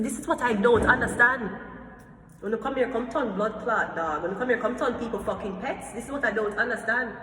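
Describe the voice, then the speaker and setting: A woman's voice: fairly high pitch, reverberant, long reverb tail, a little hiss or hum, low-quality recording. A Jamaican woman ranting casually and bluntly, swearing freely and acting out little exchanges as she talks. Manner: blunt, profane, animated storytelling with mimicked exchanges. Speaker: vlogger. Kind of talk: casual rant to camera.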